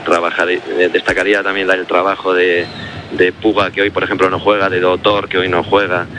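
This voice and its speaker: Very deep, bright-toned male guest. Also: flat delivery, highs cut off, slight background noise. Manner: measured, polite, hesitant. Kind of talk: radio interview.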